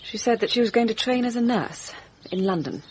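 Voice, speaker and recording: An actress playing a composed, formal young gentlewoman hiding hurt in a British radio mystery drama. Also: on the high side, animated, a little fast, a little hiss or hum, close to an everyday voice. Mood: earnest, resolute. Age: young adult. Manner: controlled, formal, decisive.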